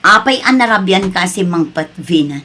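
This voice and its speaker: A woman's voice: fairly low pitch, expressive, moderate pace, sharp tone, a little hiss or hum. A woman with a Filipino accent playing a suspicious, worried, strict mother in a family drama. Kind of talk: Filipino radio-style family drama acting.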